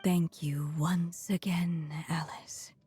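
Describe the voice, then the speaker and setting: An actress playing a gentle, solemn dying storyteller in a video game, speaking softly and breathily. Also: female voice, fairly low pitch, little pitch movement, takes it slowly, soft background music. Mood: gentle farewell. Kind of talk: scripted game voice acting.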